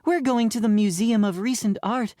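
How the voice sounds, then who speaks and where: A woman's voice: on the high side, moderate pace, mellow, no echo, studio quality, voice pushed up, close to an everyday voice. A woman voicing a playful, prankish female schoolteacher making announcements to her class in a children's audiobook. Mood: cheery, teasing.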